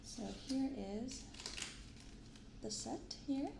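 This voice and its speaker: A soft-spoken woman hosting an unhurried ASMR-style unboxing, recorded in an untreated apartment room. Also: sits high. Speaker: host of a card unboxing channel. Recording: roomy untreated apartment, clear background noise.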